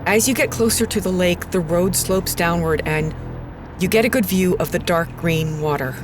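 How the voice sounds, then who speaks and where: Uneasy female radio storyteller, played by an actress, lowish voice, measured scripted narration over clear music. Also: close to an everyday voice. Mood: foreboding, measured. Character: uneasy female radio presenter recounting an eerie investigation. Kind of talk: scripted first-person narration.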